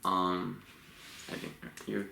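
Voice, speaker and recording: A young man with a very low, gravelly voice chats loosely through a couple's vlog, laughing and trailing off as he tells stories. The room is echoey, with a faint hiss under the voice. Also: slow pace. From Los Angeles, USA. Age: 20s. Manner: relaxed, rambling, laughs often. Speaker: vlogger co-host. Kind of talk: casual couple Q&A chat.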